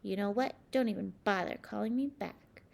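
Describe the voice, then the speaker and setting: A sour, petty grape from a picture book, acted out by a woman reader. It scowls and snaps its complaints with some gravelly fry in the voice, nursing every grudge. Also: medium pitch, moderate pace, a little mellow, voice pushed down. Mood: snappy, irritated. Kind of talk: children's storybook read-aloud.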